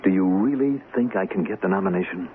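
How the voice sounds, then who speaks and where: A man's voice: deep voice, fast talker, close to an everyday voice. An actor in a radio drama playing a modest, earnest male senator, ambitious yet doubtful, with a questioning, mildly wry manner. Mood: hesitant, questioning. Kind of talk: old-time radio drama acting. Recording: muffled, noisy old radio broadcast.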